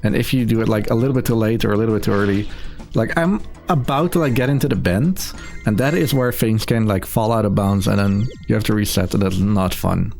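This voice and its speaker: A man's voice: on the low side, brisk, gravelly, darkish tone, soft background music. A man giving casual live commentary as he speedruns a video game. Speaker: speedrunner commentating own run.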